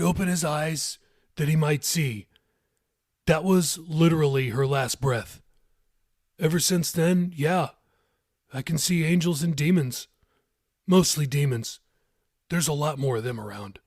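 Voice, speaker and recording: A man's voice: fairly high pitch, measured pace, pauses often, darkish tone, no room sound, studio quality. A man voicing a casual, matter-of-fact young male character in an audiobook.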